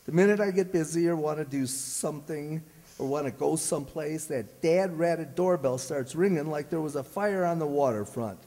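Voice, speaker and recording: An actor playing a grumbling, blustery, wisecracking husband with folksy, slangy exasperation in an old-time radio comedy. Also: male voice, fairly high pitch, moderate pace, dark tone, close to an everyday voice. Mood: exasperated. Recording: echoey hall stage recording.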